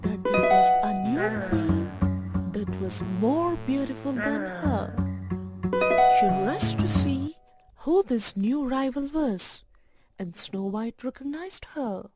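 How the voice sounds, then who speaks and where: Female narrator for children's bedtime tales, higher register, unhurried phrasing, prominent music underneath. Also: animated. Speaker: storyteller. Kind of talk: scripted children's fairytale narration. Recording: loud music and effects over voice.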